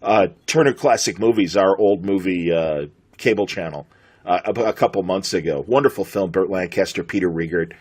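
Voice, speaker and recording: A man's voice: deep voice, normal speed, a little mellow, husky. A middle-aged American man hosting a podcast, chatting casually and enthusiastically as an interviewer. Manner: enthusiastic, fannish.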